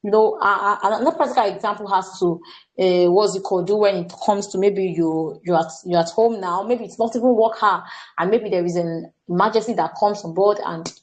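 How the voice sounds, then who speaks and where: Brief: young Nigerian woman, workshop facilitator, earnest, mid-pitched, stumbles on words and restarts. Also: fairly quick, rough recording. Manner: earnest, repeats words and says "what's it called" often. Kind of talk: staff training talk.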